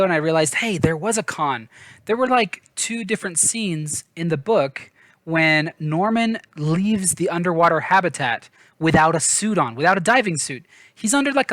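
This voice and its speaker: A man's voice: fairly high pitch, a bit bright, no echo. A man giving a casual book review in an informal, chatty, self-deprecating way.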